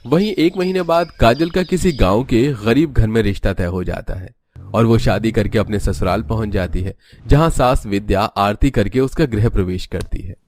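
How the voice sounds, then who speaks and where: A man's voice: on the low side, moderate pace, darkish tone, slight background noise. A man narrating a scripted cartoon story.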